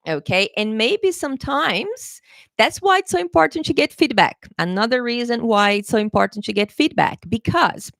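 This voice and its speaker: A woman's voice: a little high, expressive, moderate pace, gravelly, a bit bright, dry recording, studio quality. A woman English teacher giving a live exam-prep lesson, chatty and encouraging, laughing often and checking in with her viewers. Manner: laughs often, playful pet phrases.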